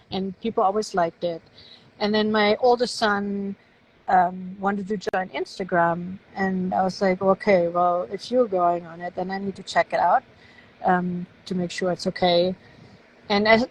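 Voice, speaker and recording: A woman from Germany speaking English with a German accent, her native tongue showing through. She talks in a casual, halting way, pausing on fillers and restarting her sentences, like an author chatting over a home video call. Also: mid-range voice, poor audio. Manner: hesitant, with frequent fillers and restarts. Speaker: guest author. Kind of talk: casual live-stream interview.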